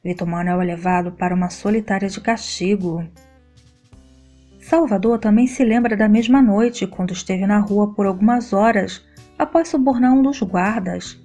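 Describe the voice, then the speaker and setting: A woman narrating a scripted soap opera episode recap in a steady, even read-aloud delivery. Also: medium pitch.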